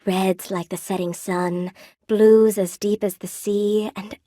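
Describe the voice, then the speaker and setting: Video game role: female actor as lonely spider-woman seamstress, tender and eager to please, courteous old-world phrasing, medium pitch, dry close sound. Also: level tone, takes it slowly, dark tone, no room sound, lightly acted. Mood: soft, reflective. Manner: polite, sweetly attentive, old-fashioned genteel phrasing. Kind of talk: video game character voice acting.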